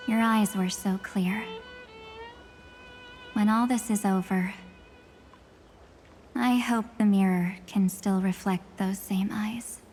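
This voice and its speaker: Woman acting a cool mysterious alter ego, video game, slow, measured, solemn, light music. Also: medium pitch, steady tone, darkish tone, lightly acted. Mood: tender, solemn. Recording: music behind the voice.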